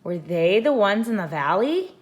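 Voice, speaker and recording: A woman's voice: medium pitch, sing-song, normal speed, close to an everyday voice. A woman voicing a curious young boy in a storybook, with an uncertain, questioning manner. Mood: puzzled.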